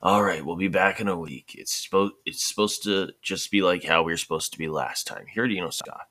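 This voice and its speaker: A man voicing a calm, easygoing male ninja squad leader in an amateur story reading. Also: sits low, fairly quick, full character voice. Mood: matter-of-fact.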